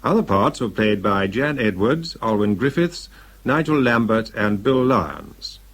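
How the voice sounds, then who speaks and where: A British man working as a radio announcer, reading scripted closing credits in a formal, measured manner. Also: fairly low pitch, lively intonation.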